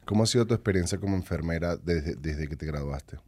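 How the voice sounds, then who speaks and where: A man hosting an interview, asking questions in a halting way and often restarting his sentences. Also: low-pitched, a little mellow, slightly rough, no room sound. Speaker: podcast host.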